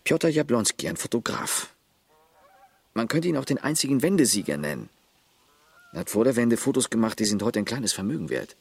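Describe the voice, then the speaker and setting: Radio play: terse villager, husky, lively intonation, dry studio. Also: male voice, medium pitch, fairly quick, dry recording. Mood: matter-of-fact. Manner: terse, matter-of-fact, lists points. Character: Jo, village man caring for grandfather, blunt.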